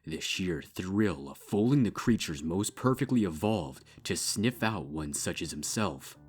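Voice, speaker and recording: Very deep-voiced male story narrator. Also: expressive, a little slow, husky. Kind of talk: scripted dark fiction narration. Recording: music behind the voice.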